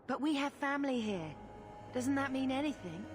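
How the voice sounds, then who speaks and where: A woman's voice: high voice, lively intonation, moderate pace, music in the background. An actress playing a wry young woman refugee in a video game cutscene, dry and sarcastic.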